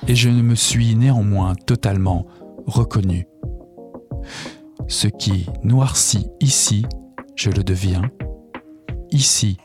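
Man reading poetic prose over soft music. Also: on the low side. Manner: slow, measured, lyrical reading. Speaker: radio show host. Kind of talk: reading literary excerpts on air.

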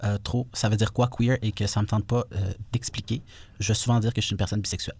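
A young man with a Quebec French accent, talking casually on radio with relaxed fillers. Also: lowish voice, quick pace, breathy edge, no room sound. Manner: relaxed, frequent "euh" fillers. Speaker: guest co-host.

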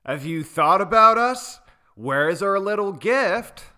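A man voicing a cruel male rhino bully who talks in a loud, mocking, sneering way. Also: sits high, lively intonation, a little slow, voice pushed up, full character voice. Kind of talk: storybook reading.

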